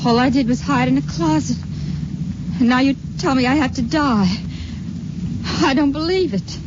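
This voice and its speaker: A frightened young stowaway played by a woman pleads in naive disbelief. Her voice is very high, acted in 1950s radio drama style on a dull, very noisy old recording. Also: normal speed, close to an everyday voice. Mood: bitter disbelief.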